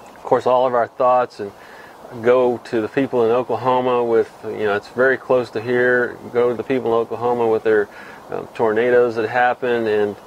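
Middle-aged texas guy doing his fishing report outside, lots of uhs, kinda dull sound, faint noise around. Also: mid-range voice. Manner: rambling, many fillers and restarts. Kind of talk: casual spoken news report to camera. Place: outdoors in front of a cluttered shed wall.

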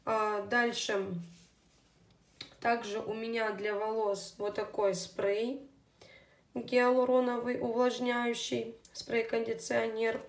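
A young woman who has a child, chatting casually through her beauty products, her voice a little off. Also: a little high. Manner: chatty, unhurried, with fillers. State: voice somewhat unwell. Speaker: beauty vlogger. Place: indoors at a table, hands on camera. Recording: echoey room.

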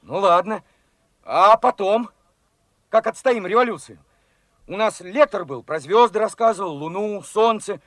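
Radio drama youth, about twenty: high voice, unhurried pace, eager, plain-spoken dreamer. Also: male voice, a bit bright, dry recording. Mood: eager, hopeful. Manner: earnest, plain-spoken, questioning. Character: eager, naive twenty-year-old revolutionary working lad, dreaming of glory. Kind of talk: Soviet radio drama acting.

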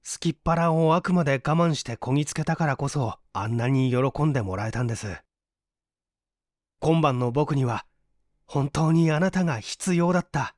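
A man's voice: on the high side, animated, normal speed, dry recording, studio quality, natural voice. A man voicing an earnest, courteous young man in a dramatic story reading. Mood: tender, earnest. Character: earnest, polite young man, grateful and modest.

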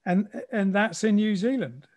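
A man hosting a casual conversation in a measured, reflective manner. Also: high-pitched, animated, darkish tone. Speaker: conversation host.

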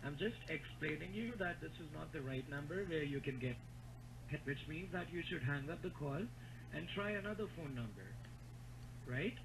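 A man's voice: a little high, lively intonation, moderate pace, creaky, background noise. A man, speaking firmly and formally as a technical support agent on a phone call. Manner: firm, formal.

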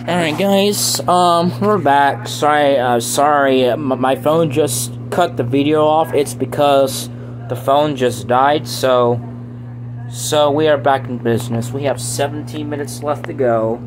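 A man's voice: a little high, steady tone, a little slow, music in the background. A man vlogging casually to his viewers while filming a washing machine, his voice a bit scratchy and his talk rambling, full of restarts and repeated phrases. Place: home laundry room, indoors. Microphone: phone held in hand. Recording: noisy phone recording beside a running washer. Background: washing machine running and humming.

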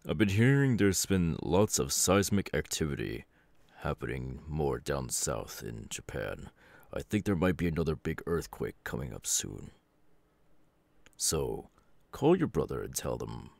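A man playing a stern, weary middle-aged superhero father in an ASMR roleplay, with a deliberate, halting delivery. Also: rumbling low, very animated, vocal fry, darkish tone, dry recording, exaggerated voice. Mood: calm, advising. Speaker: voice actor.

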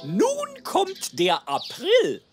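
Pompous male gander teacher, wildly swooping pitch, very slow didactic delivery, children's cartoon. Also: huge pitch swings, over music, exaggerated voice. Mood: instructive.

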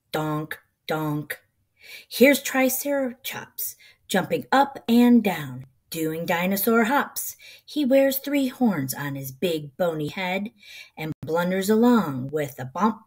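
A middle-aged woman reading a children's picture book aloud in an expressive, rhythmic, sing-song way. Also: fairly low pitch, slightly rough.

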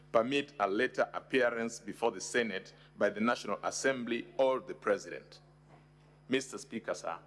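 Deep-voiced Kenyan counsel in echoing chamber. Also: male voice, fairly bright tone. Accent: Kenyan English. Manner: measured, deferential, formal. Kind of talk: formal legal submission. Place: large parliamentary chamber. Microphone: desk microphone. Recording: long hall reverb.

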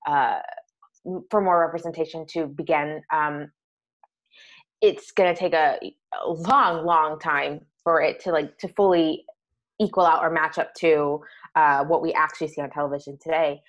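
A woman with a fairly low voice, talking as a podcast guest. She is thoughtful and hesitant, often pausing on fillers and restarting her sentences. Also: steady tone, dull audio. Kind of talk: casual conversational interview.

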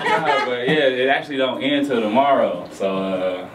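A man's voice: medium pitch, lively intonation, moderate pace, distant mic. A young man speaking casually as a musician guest in a radio studio interview. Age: young adult. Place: small radio studio, indoors. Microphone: studio microphone on boom arm. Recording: roomy studio, noisy, off mic.

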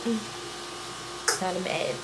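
A young woman talking casually to her phone camera in a small bedroom, relaxed and chatty with drawn-out fillers. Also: heavy background noise. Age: young adult. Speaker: vlogger. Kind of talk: casual vlog talk to camera. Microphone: phone held close.

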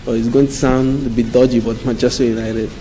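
A man's voice: medium pitch, flat delivery, a little fast, warm tone, soft background music. A young man answering interview questions indoors in an easygoing, modest way. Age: young adult. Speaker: interviewee, footballer's son. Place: indoor room.

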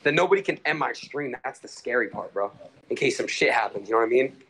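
A man's voice: mid-range voice, lively intonation, fast talker, a bit bright, slight background noise. A young man in his twenties livestreaming from a bedroom, talking restlessly to his chat and friends with lots of swearing. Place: bedroom in a house.

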